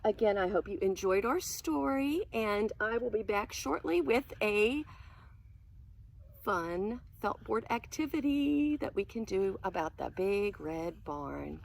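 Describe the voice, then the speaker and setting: A woman reading a picture book aloud to preschoolers as their teacher, expressive and sing-song, often hushed, pausing to explain words and ask questions. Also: medium pitch, creaky. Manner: animated, asks questions and explains words to children.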